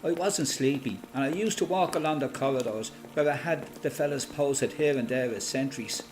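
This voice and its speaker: A man with an Irish accent playing a resolute, plain-spoken freedom fighter giving a matter-of-fact, measured first-person testimony in a radio play. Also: mid-range voice, a little mellow, slight background noise, lightly acted. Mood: matter-of-fact recollection.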